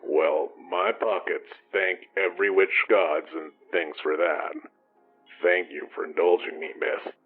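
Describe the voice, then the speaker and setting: A man's voice: deep voice, phone-quality sound. An actor playing an apologetic, folksy old man who rambles in an audio drama. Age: older. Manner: folksy, meandering, self-deprecating.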